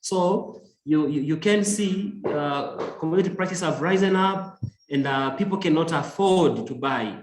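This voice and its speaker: A man with a Tanzanian accent contributing to an online discussion, searching for his words in a halting way. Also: a little high, a little hiss or hum. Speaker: environmental organization worker, audience participant. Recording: roomy echo on online call.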